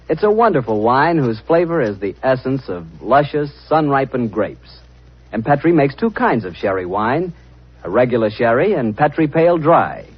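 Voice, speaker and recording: An American man working as an announcer and sponsor pitchman in 1940s radio style. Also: breathy edge. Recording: muffled 1940s radio broadcast.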